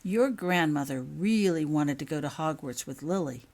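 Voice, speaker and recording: A woman voicing a kindly, calm, fatherly man with a measured, reassuring manner in a storybook reading. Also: sits low. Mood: reflective.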